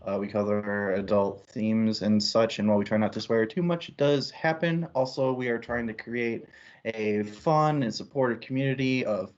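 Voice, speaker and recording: A man's voice: fairly low pitch, normal speed, dull audio. A man hosting a book discussion livestream as one of two co-hosts. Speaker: co-host of a book discussion show. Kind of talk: livestream hosting, part casual, part prepared intro.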